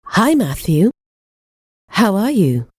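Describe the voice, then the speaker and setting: A woman's voice: mid-range voice, sing-song, slow pace, darkish tone, breathy edge, no echo, clean studio sound. A woman reading English course dialogues and lesson titles in a careful teaching manner. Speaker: language course narrator and dialogue reader. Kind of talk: slow, clearly read textbook dialogue.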